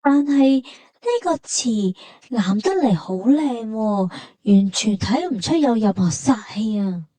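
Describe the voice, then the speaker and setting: A woman with a Hong Kong Cantonese accent narrates a travel vlog. Her voice is fairly high and mellow, conversational as she explains things, and the recording is dry. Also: lively intonation, slow pace, no room sound. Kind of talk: scripted travel narration and dialogue.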